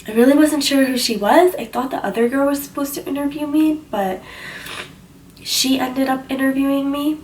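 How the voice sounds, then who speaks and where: A young woman with a cold, sounding stuffy and slightly husky, chatting casually on a vlog with lots of fillers. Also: high-pitched, steady tone, normal speed, a little mellow. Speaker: vlogger. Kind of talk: casual storytelling chat. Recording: voice a bit far from the mic, faint background hiss.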